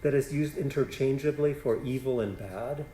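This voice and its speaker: Soft-toned Polish-native professor, roomy hall. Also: male voice, medium pitch, moderate pace, slight background noise. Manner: thinks aloud, restarts phrases, frequent "right?". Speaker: philosophy professor. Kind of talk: answering audience questions after a lecture.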